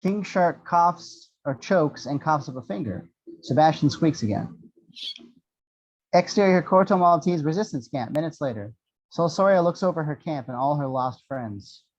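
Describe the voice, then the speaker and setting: A man's voice: fairly high pitch, lively intonation, normal speed, dull audio. A man narrating the action descriptions of a screenplay at a table read, in a scripted storytelling style.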